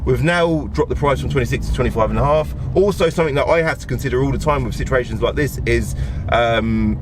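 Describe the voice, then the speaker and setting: A man with a croaky voice from a cold, chatting casually while he drives, repeating himself and tagging on questions. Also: medium pitch. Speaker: car dealer vlogger. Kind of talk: casual vlog monologue. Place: inside a car while driving. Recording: loud car and road noise.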